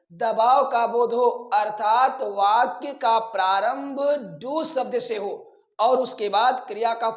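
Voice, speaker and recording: An Indian man with a strikingly high voice lectures as a grammar teacher. He goes at a very unhurried pace, restating key phrases in a deliberate, didactic way. The sound is roomy and echoing. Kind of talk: classroom lecture explaining rules bilingually.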